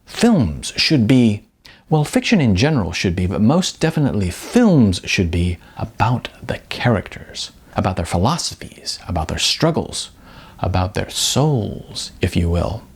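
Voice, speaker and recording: A man's voice: on the low side, sing-song, unhurried, breathy edge, exaggerated voice. A man voicing a shy but pretentious amateur writer-director in an audiobook, with stilted, affected, pompous phrasing. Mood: pompous, intense.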